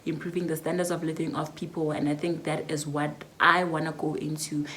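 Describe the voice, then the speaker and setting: A woman's voice: sits low, level tone, fairly quick, a bit bright, a little hiss or hum. A nineteen-year-old woman with a South African accent, chatting reflectively through a Q&A vlog with lots of fillers. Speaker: YouTuber. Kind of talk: casual Q&A vlog.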